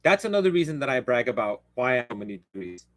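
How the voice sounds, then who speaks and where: A man's voice: medium pitch, very animated, quick pace, fairly bright tone, dry recording. Rambling man, dry close sound. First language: Spanish. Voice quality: rambling, self-interrupting, blunt. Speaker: livestream host. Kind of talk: casual live-stream chat.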